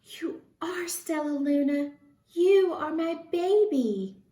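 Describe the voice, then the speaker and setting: A woman's voice: high-pitched, animated, slow pace, dark tone, roomy sound, pitched above the performer's own voice. A woman voicing a tender, emphatic mother bat in a storybook read-aloud. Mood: hushed wonder.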